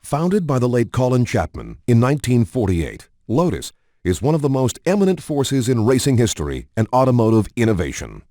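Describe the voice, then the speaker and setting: A man reading scripted documentary-style narration for a video game in a measured, polished promotional manner. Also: mid-range voice, huge pitch swings, dark tone, no echo.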